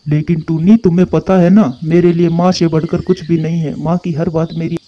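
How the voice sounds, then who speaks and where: A man's voice: fairly high pitch, level tone, a little fast, natural voice. A man playing a husband bird, a dutiful son curt with his wife, in a Hindi cartoon. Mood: insistent. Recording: muffled, dull lo-fi sound.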